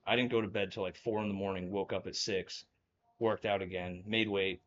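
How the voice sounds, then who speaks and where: A man's voice: low-pitched, fairly even intonation, fairly quick, a bit bright, muffled recording. A young man from New Jersey telling stories as a guest in a casual interview, rambling with lots of fillers and laughing often. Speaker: guest, former college wrestler.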